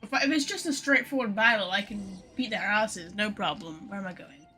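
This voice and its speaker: A woman's voice: medium pitch, brisk, bright, forceful tone, faint music behind. A woman giving casual, blunt and mildly sarcastic live commentary as she plays a game. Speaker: game streamer.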